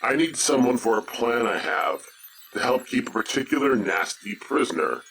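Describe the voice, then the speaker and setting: An actor playing a proud, stern mercenary count commanding a warship in an audio drama, authoritative and menacing. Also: male voice, medium pitch, normal speed, fairly bright tone, natural voice. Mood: stern, demanding. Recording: faintly noisy recording.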